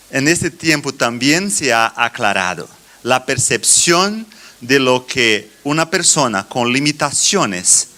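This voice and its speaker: A middle-aged man with a Brazilian Portuguese accent giving a church conference address in halting phrases with deliberate pauses. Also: medium pitch, bright, forceful tone. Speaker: conference speaker, children's ministry teacher.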